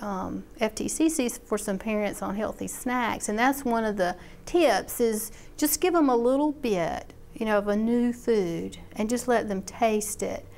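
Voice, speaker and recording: Lady agent talking easy, lots of ums, nice smooth clear voice. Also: fairly high pitch. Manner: chatty, with many fillers and restarts. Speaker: extension agent. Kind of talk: interview about a program.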